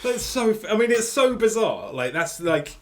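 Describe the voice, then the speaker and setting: A man trades dry banter at a kitchen table, quick to laugh. His voice sits a little higher than most men's. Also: very animated. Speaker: podcast co-host. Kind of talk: casual chat. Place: home kitchen.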